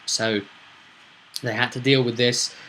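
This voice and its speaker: A British man talking casually as a game master explaining his session, halting at times and stressing key words. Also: mid-range voice, little pitch movement, fairly bright tone, low-quality recording. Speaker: tabletop game master, vlog host. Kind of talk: casual explanatory vlog about a roleplaying session. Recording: noticeable background noise, whirring fan.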